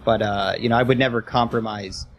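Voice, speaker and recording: A man's voice: on the low side, steady tone, brisk. A man co-hosting a casual podcast, thinking aloud with repeated filler phrases. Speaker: podcast co-host.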